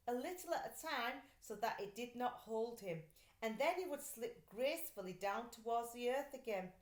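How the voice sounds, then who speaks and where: A high-pitched woman reads a children's storybook aloud in an echoey room. Her delivery is very lively and dramatic, swinging up and down as she narrates for young listeners. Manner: animated, dramatic character voicing in dialogue. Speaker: teacher reading a children's book aloud. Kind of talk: expressive storybook read-aloud.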